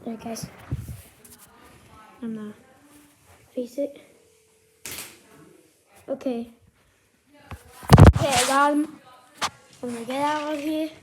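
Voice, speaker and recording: A child with a high voice talking casually and ramblingly to viewers as a vlogger. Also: slow, long pauses, heavy background noise.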